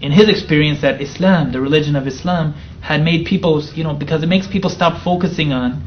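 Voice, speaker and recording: A man in his thirties from the Chicago area, a guest telling his story in a soft-spoken, thoughtful way. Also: on the high side, a little fast. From United States (near Chicago). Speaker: interview guest. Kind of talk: relaxed storytelling interview. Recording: slightly dull sound with faint noise.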